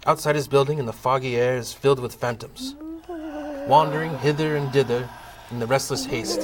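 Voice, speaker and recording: Measured male narrator, medium pitch. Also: noisy background. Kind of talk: scripted story narration for a comic audio play.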